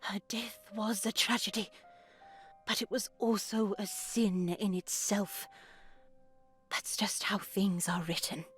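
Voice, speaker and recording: Slow, preachy older nun. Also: female voice, medium pitch, steady tone, fairly bright tone, soft background music. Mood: solemn, preaching. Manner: preachy, measured, condescending. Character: stern, pious older nun, softly menacing and condescending. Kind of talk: dramatic visual novel voice acting.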